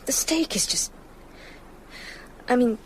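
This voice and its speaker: A woman's voice: fairly high pitch, big pitch swings, takes it slowly. Jumpy skeptical young woman, radio play, faint sound effects noise behind. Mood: hesitant, afraid. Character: nervous, skeptical young American woman, easily startled. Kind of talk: radio drama acting.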